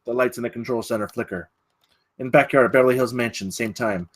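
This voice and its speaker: A man's voice: medium pitch, fast. Man narrating screenplay action. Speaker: narrator reading stage directions. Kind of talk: scripted narration at a screenplay table read.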